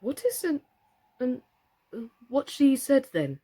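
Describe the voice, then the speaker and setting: A fussy gentleman doll in a storybook, played by a woman, easily upset. The speech is slow and halting, with plaintive, wide swings of pitch. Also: very animated, breathy edge, exaggerated voice. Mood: puzzled.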